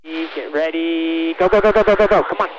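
A man's voice: a little high, moderate pace, highs cut off, noisy background. A man working as a race spotter, speaking in short, repeated commands over a team radio. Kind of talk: urgent radio spotting calls to a driver.

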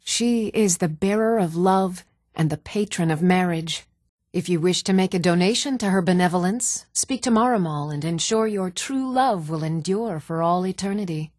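An actress voicing earnest, even-toned townswomen in a fantasy video game, speaking in a measured, clearly enunciated way. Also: female voice, on the low side, no echo, studio quality, lightly acted. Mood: solemn, kindly. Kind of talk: scripted video game voice acting.

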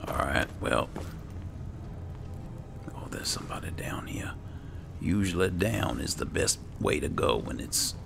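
Man, game commentator, bass-deep and gravelly, murmured delivery, talking to himself over game music. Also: lively intonation, measured pace, long pauses, background noise. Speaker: gaming channel host, player. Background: loud video game music.

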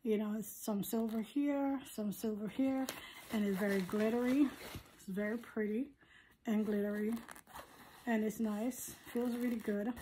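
A woman with a hoarse, husky voice, giving a casual, rambling product review as a vlogger at home. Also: a little high, fairly even intonation, a little slow, warm tone, slight background noise, rough recording. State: hoarse, recovering from surgery. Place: living room at home.

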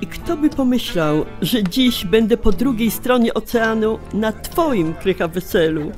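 A woman's voice: a little high, lively intonation, unhurried, a little mellow, soft background music. An actress playing a chatty, nostalgic older woman, an old school friend, in an audio drama. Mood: nostalgic, amused.

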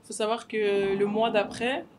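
A young woman guest recounting her story, soft-spoken with many fillers. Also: medium pitch, fairly even intonation, a little slow, background noise. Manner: soft, frequent "en fait" and "euh". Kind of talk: personal testimony in interview. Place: hotel lounge, indoors.

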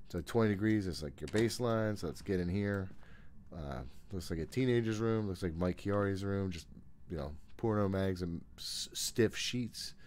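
Male gaming streamer, thirties, low register. Nervous, wisecracking horror playthrough chatter, close desk mic with faint background ambience. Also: darkish tone, slight background noise. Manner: nervous, jokey, profane, self-narrating. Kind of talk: casual playthrough commentary of a horror game. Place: small room at home, indoors. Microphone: desk condenser mic close by, headphones on.

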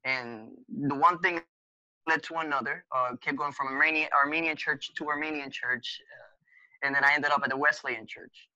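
Guest: thirties man, Spanish first language, very bright tense tone, dry dull webcam audio. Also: a little high, steady tone, no room sound. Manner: halting, many filler uh pauses. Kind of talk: casual conversation over video call. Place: small room at home. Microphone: webcam video call.

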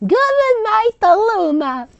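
Extremely squeaky girl witch. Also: sing-song, drawn-out words, creaky, background noise, exaggerated voice. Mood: cheerful. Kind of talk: mocking fan fiction character reading. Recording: dull, muffled lo-fi audio.

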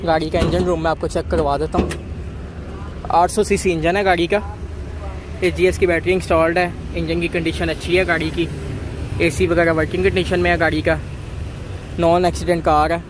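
A man walking viewers around used cars at an outdoor bazaar, listing their features in a steady, repetitive way. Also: fairly high pitch, brisk, long pauses. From Pakistan. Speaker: car market video host. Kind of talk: walkaround car review for viewers. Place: outdoor car bazaar, dusty lot. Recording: outdoor market noise behind.

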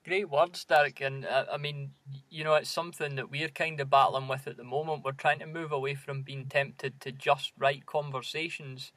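A man of medium pitch hosts a podcast interview in a casual, conversational way. His voice sounds very dry, with little room around it. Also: flat delivery, normal speed, fairly bright tone, no echo. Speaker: podcast host.

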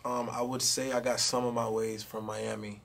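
A man's voice: mid-range voice, level tone, normal speed, creaky, a bit bright, slight background noise. A young man, a rapper, chatting casually in an interview with a handheld mic in a studio room, laid-back and full of "you know what I mean". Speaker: rapper guest.

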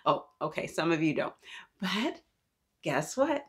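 Woman, 30s, animated children's storytime reader. Lowish, husky voice with big swoops in pitch, addressing little listeners directly. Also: unhurried. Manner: expressive, talks to listeners as if they answer. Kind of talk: animated read-aloud for young children.

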